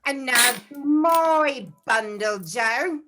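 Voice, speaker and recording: A woman's voice: high-pitched, animated, very slow, very noisy, exaggerated voice. A woman playing a brazen, gloating charwoman and thief in a radio play, with gleefully defiant delivery. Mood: gleeful defiance.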